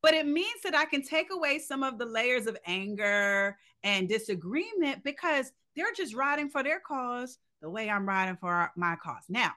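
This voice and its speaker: A woman's voice: on the high side, very animated, normal speed, few pauses, pushed and bright. A woman co-hosting a podcast, talking earnestly and emphatically in a reflective conversational monologue, often posing rhetorical questions. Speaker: podcast co-host.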